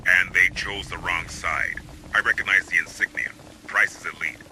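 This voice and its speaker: A man's voice: sits low, steady tone, moderate pace, bright, forceful tone, slight background noise, exaggerated voice, poor audio. A man playing a hardened, wry military squad leader in a video game, speaking in a terse, commanding, dry way. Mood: calm, cynical. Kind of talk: video game cutscene acting.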